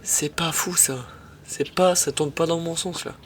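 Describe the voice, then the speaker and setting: A young man with a head cold, casually commenting on his own tennis match in slangy, excitable talk to his viewers. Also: on the high side, unhurried, slight background noise. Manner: slangy, excitable, talks to viewers as "les mecs".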